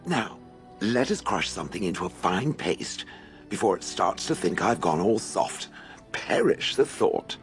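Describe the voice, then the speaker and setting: Ancient golem, deep, swooping intonation, haughty, sardonic, deliberate phrasing, video game drama. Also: male voice, very animated, soft background music. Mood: dry condescension. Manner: stilted, condescending, deliberate phrasing.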